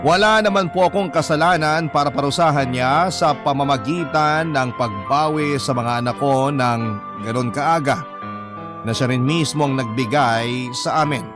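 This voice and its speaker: A man with a Filipino accent narrating a first-person story from a letter in a dramatic style. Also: medium pitch. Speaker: narrator reading a letter aloud. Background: music.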